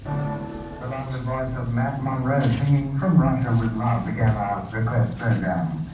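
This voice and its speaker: A man's voice: mid-range voice, fairly even intonation, a little slow, over loud music. A man presenting a 1960s radio request show, reading listener dedications in a friendly announcer manner. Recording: dull roomy distant archive radio recording.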